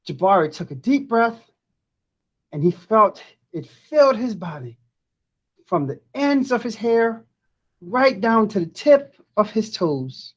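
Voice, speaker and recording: A woman reading a children's storybook aloud expressively and deliberately, pausing to chat to viewers. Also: mid-range voice, animated.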